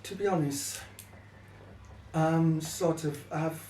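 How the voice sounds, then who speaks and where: A man's voice: fairly high pitch, steady tone, takes it slowly, dark tone. An older man being interviewed, speaking hesitantly in short phrases about his own life. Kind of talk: hesitant personal interview talk. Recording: echoey, distant mic, faint noise.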